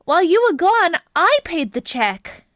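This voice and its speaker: A woman's voice: very high-pitched, big pitch swings, a little slow, fairly bright tone, no echo, clean studio sound, exaggerated voice. A woman playing a timid young woman on a first date in an amateur vintage radio play, sounding worried and breathless.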